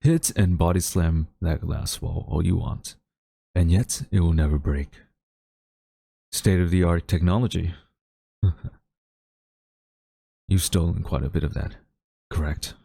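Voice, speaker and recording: A man playing a haughty, wistful government agent in a dramatic audio roleplay, speaking in a smug, deliberate, theatrical way to a captured former friend. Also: sits low, fairly even intonation, creaky, warm tone, husky, no room sound, exaggerated voice. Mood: cold, gloating.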